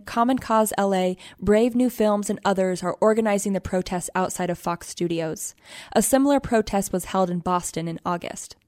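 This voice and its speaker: A woman's voice: mid-range voice, darkish tone, no room sound, clean studio sound. A woman reading scripted radio news as a presenter.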